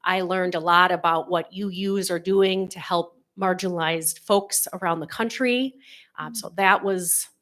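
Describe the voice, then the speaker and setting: A woman, the congregation's president, giving an earnest announcement to the congregation with many pauses and fillers. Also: fairly low pitch, fairly even intonation, fairly bright tone. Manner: earnest, with many ums and restarts.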